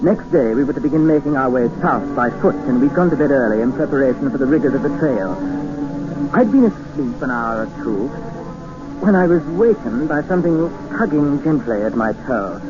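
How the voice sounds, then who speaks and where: A man's voice: mid-range voice, expressive, moderate pace, lightly acted. A man playing a wry English explorer narrating. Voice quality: wry, storytelling. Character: nervous young English explorer telling his tale. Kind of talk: 1950s radio drama narration. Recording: dull old radio broadcast with music.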